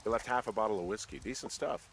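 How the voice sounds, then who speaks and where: A man's voice: fairly low pitch, fast, vocal fry, fairly bright tone, a little hiss or hum. An actor playing an easygoing, self-deprecating American man out in the wilderness, talking in a casual, hesitant, dry way. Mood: wry, weary. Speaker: player character, fire lookout. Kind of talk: naturalistic game voice acting.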